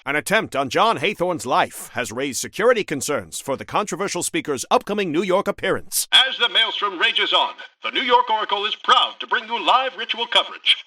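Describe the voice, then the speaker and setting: A man's voice: sits high, sharp tone, heavily acted. A male voice actor playing a sensational old-time American radio newscaster for a video game, with a punchy, theatrical announcing style. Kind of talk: 1940s radio newsreel announcing, scripted.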